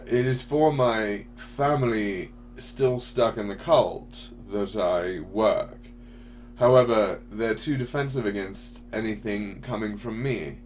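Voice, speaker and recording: A man's voice: fairly low pitch, a little hiss or hum. A man speaking as host of a casual, opinionated question-and-answer show, in a blunt, informal way. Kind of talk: casual opinionated commentary, answering audience messages.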